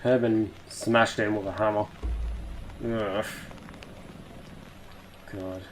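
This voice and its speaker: A man's voice: fairly low pitch, steady tone, drawn-out words, slight background noise, rough recording. A man doing a casual video reaction, reading captions aloud and muttering sweary asides. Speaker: YouTube reaction host.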